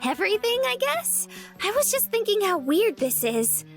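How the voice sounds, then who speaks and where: Visual novel young female: very high voice, naive, bubbly, chatty, over background music. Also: sing-song, moderate pace, heavily acted. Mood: cheerful, playful. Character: bubbly, naive, talkative young woman, a runaway. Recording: music under the voice. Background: game music.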